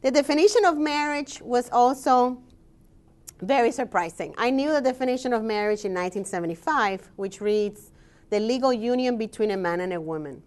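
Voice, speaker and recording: Woman academic presenting her research; steady, explanatory delivery, pauses on "um.". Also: on the high side. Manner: measured and explanatory, with frequent "um" pauses. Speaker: scholar and researcher giving a presentation. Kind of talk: presentation talk with slides.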